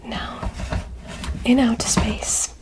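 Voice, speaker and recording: A woman voicing a gentle, curious alien doctor in an ASMR medical roleplay, whispering soothing, softly formal instructions. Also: very slow. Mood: soothing, clinical. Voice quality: breathy whisper.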